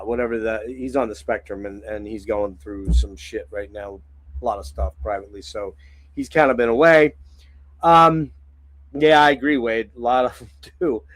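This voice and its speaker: Hoarse man rambling on a livestream. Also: medium pitch, a bit bright, no room sound, low-quality recording. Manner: rambling, frequent throat clearing and filler words. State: hoarse and phlegmy. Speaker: livestream host. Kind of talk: casual livestream chat.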